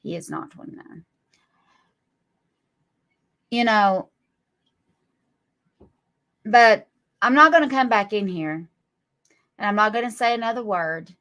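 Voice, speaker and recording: A woman's voice: mid-range voice, expressive, slow, long pauses, a bit bright. A woman, scolding and mocking her critics on a live stream in a sarcastic drawl. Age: 40s. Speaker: livestream guest.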